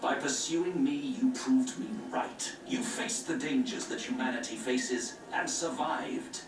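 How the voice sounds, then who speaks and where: A man's voice: medium pitch, unhurried, natural voice. A grave grand master, voiced by a man, speaks in a lofty, sermonizing tone. His video game audio sounds distant and roomy, with music beneath it. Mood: persuasive. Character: grave male grand master of an order, preaching doom. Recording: game audio from far off in an echoey room, with music.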